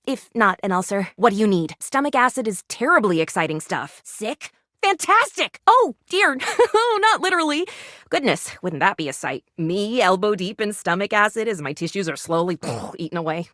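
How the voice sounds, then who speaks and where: A woman's voice: medium pitch, sing-song, normal speed, fairly bright tone, dry recording, studio quality. A woman playing a perky, eccentric, gleefully morbid lady doctor in a video game, with gushing, old-fashioned, melodramatic phrasing. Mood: morbidly eager, then giddy. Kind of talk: animated video game character acting.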